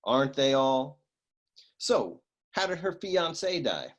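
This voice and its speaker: A man playing a flippant, self-important private eye with a deadpan manner in a comic detective radio play. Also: medium pitch, takes it slowly. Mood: cocky. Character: flippant, dim-witted noir male private eye.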